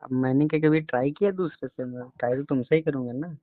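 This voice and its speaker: A man's voice: medium pitch, quick pace, no room sound. A man chatting casually and intimately on a phone call, in a coaxing, teasing manner. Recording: tinny, band-limited phone call recording.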